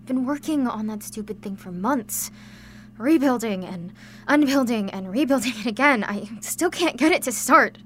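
A woman's voice: sits high, a little hiss or hum, close to an everyday voice. A woman playing a frustrated, self-doubting teenage girl mechanic in a radio drama, sardonic and hesitant. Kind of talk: naturalistic radio drama acting.

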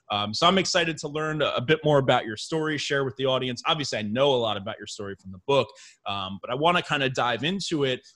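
Young guy hosting a podcast, keeps saying um and restarting, excited, medium voice. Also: medium pitch. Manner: eager, filler-heavy, restarts often. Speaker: podcast host. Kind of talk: conversational interview with sponsor read.

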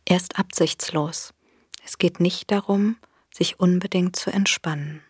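A woman with a soft, breathy voice gently guiding a body scan meditation in a soothing manner. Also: fairly low pitch, steady tone, no room sound, studio quality. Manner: gentle, soothing, unhurried instruction with long pauses. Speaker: meditation guide. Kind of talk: guided body scan meditation, scripted narration.

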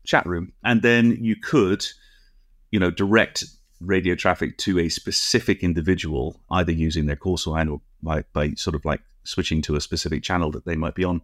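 Low-voiced man explaining tech, close dry sound. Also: no echo. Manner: nerdy, precise, digressive. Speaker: podcast co-host. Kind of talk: conversational technical explanation.

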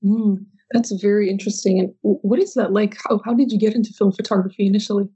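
A woman hosts a podcast, speaking in a mellow, soft-toned voice at a middle pitch. She reads her introduction in an even, measured way, then interviews with short encouraging replies. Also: husky, lo-fi sound. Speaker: podcast host.

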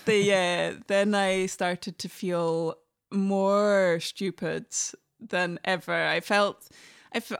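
A Scottish woman in her forties talks things through carefully, her voice smooth and resonant with a gravelly edge. She often starts a sentence over as she searches for the right words. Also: mid-range voice, steady tone, slow pace. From Scotland. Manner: thoughtful, with frequent restarts. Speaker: guest musician. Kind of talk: reflective conversation.

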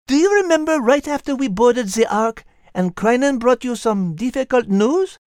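A woman playing a clever, gentle female cat with a French accent, playful and patient, in a children's audio story. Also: fairly high pitch, animated, normal speed, dry recording, studio quality, natural voice. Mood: gentle, wise. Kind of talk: scripted character dialogue in a children's audio story show.

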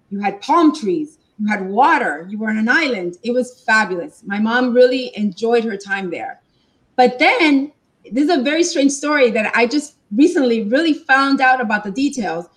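A woman's voice: a little high, expressive, normal speed. A woman from Cuba, a guest on a talk show, telling her family's story in a casual interview.

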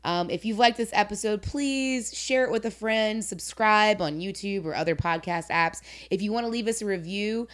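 A woman's voice: mid-range voice, normal speed, hardly any pauses, clean tone. A woman hosting a casual interview podcast, chatty and informal, with slangy turns of phrase. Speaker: podcast host.

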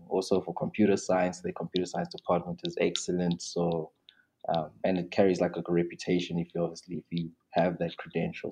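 South african guy, young, real low voice, kinda loose and rambly. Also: lo-fi sound. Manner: relaxed, rambling with many restarts and fillers. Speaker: guest. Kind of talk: casual interview chat.

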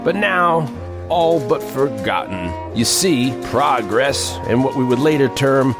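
An actor playing an older male reporter who narrates a strange frontier tale in an emphatic, deliberate storytelling manner. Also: mid-range voice, animated. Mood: measured, mysterious. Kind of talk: dramatic scripted first-person narration. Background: music bed.

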